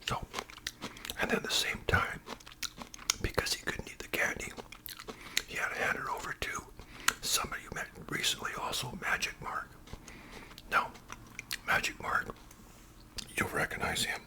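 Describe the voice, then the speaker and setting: A man with a Canadian accent speaks in a breathy whisper, chatting casually and unhurriedly in ASMR style as host. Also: moderate pace, pauses often, sharp tone, rough recording. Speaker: ASMR video creator and host.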